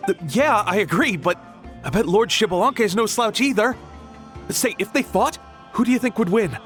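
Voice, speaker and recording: A man playing an excitable, imaginative young townsman in a video game, eager and full of speculation. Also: sits high, normal speed, faint music behind. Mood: speculative, excited. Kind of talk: video game character acting.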